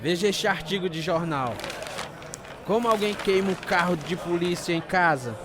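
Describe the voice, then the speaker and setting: A man's voice: high-pitched, normal speed, lightly acted. A man acting as a volatile, embittered heavy drinker who tells his own story in a dramatised radio narration. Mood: sly, excited. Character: bitter, volatile hard-drinking husband telling his story. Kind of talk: dramatised first-person scripted narration. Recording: loud guitar music behind the voice.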